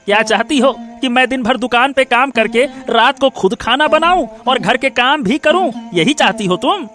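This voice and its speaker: Man acting a shrill, greedy, bad-tempered shopkeeper. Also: lively intonation, moderate pace, bright, forceful tone, faint music behind, heavily acted. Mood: irritated. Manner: scolding, threatening. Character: greedy, cruel, short-tempered male village shopkeeper and husband. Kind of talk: Hindi moral-story cartoon dialogue.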